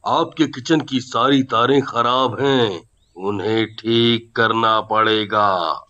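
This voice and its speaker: A man's voice: medium pitch, steady tone, slow pace, bright, forceful tone, dull audio. A man voicing a menacing, bossy ghost electrician in a cartoon horror story, with a commanding delivery. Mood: menacing, insistent.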